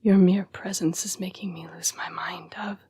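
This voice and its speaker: A woman voicing an apologetic, protective yet predatory female vampire fighting her bloodlust in an intimate audio roleplay, with hushed, formal, halting speech. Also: lowish voice, fairly even intonation. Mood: tempted, apologetic. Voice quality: breathy, whispery. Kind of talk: intimate scripted audio roleplay addressed to the listener.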